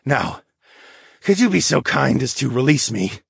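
A man voicing a formal, haughty lynx demi-human in a fantasy audiobook, with wordy, measured phrasing. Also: medium pitch, sing-song, gravelly, slightly rough, dry recording, clean studio sound, pitched above the performer's own voice, full character voice. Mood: haughty, muttering.

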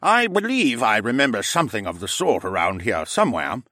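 A man voicing a genial dinosaur-man ambassador in an audiobook, with a smooth, courtly manner. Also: mid-range voice, very animated, fairly quick, bright, forceful tone, dry recording, studio quality, voice pushed up.

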